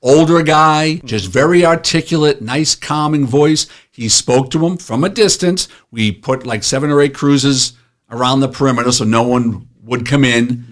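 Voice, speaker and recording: A man's voice: medium pitch, normal speed. A man telling police anecdotes in a casual, blunt, joking way with some swearing, like an officer recalling the job. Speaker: retired police officer telling stories on a show.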